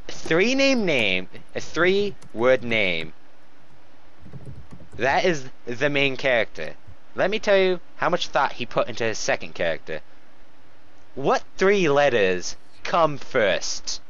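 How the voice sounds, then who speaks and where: A man with a mid-pitched voice on a slightly muffled, lo-fi recording. He reads aloud casually for comedy and rambles off into sarcastic, sweary commentary. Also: big pitch swings, takes it slowly, fairly bright tone. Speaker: host reading fan fiction aloud with running commentary. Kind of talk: casual comedic read-aloud with mocking asides.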